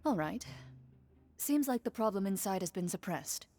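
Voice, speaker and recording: A woman's voice: medium pitch, animated, moderate pace, darkish tone. An actress voicing a composed, businesslike woman with dry, understated wit in a video game. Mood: calm. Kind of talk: game voice acting.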